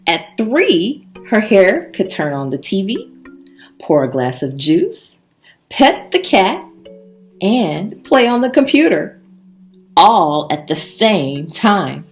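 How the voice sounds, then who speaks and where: A woman reading a children's story aloud in an expressive, emphatic way, pausing for drama between short phrases. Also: medium pitch. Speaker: storybook reader. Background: background music.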